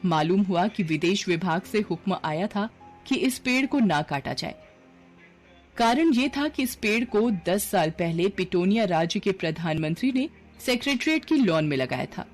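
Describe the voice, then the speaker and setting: Expressive woman narrating a story. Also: on the low side.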